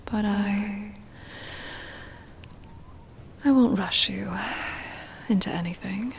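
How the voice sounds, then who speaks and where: A woman playing a possessive, doting centuries-old vampire mistress in an intimate, breathy whispered ASMR roleplay, coaxing and tender with a menacing edge. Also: medium pitch, slight background noise. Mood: playful, possessive. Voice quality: breathy whisper with creaky edges. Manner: slow, coaxing, possessive endearments.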